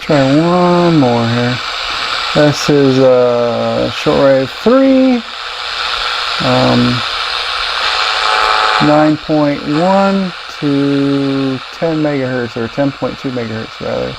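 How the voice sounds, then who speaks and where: Slow, gravelly man demonstrating a radio. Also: medium pitch, expressive. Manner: halting, thinking aloud. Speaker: radio reviewer and host. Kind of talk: casual product demonstration. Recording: clear radio static and noise.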